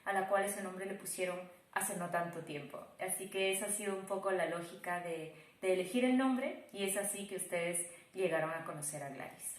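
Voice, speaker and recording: A woman talks through an explanation conversationally. Her pitch is average, and the recording is strongly echoey and roomy. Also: a little fast, hardly any pauses. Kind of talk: spontaneous explanation. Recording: very echoey room sound.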